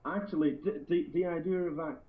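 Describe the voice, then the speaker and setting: A man's voice: a little high, steady tone, brisk. A man from Iran, a native Azerbaijani speaker, chatting casually as a caller, repeating words as he goes. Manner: repeats words, frequent "you know". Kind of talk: casual phone-in chat. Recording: thin, phone-like internet call.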